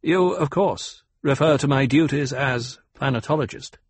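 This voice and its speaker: A man's voice: on the high side, animated, normal speed, creaky, slightly rough, dry recording, pitched above the performer's own voice, full character voice. A man voicing a proud, guarded planetologist in an audiobook, speaking in a stiff, curt, formal and emphatic way. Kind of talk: audiobook character acting.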